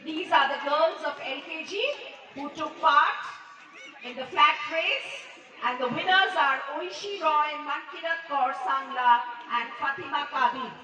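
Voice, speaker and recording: High distant announcer woman, echoey loudspeaker. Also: lo-fi sound. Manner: slow, deliberate announcing. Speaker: event announcer. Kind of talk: reading announcements over a loudspeaker. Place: outdoor school sports ground. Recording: distant echoey loudspeaker amid crowd noise. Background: crowd and loud noise.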